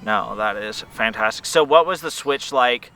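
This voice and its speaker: A man's voice: medium pitch, normal speed, pushed and bright, clean tone. A man hosting a casual outdoor interview while flying a drone, upbeat and playful with jokes. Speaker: host. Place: outdoors at a park playground.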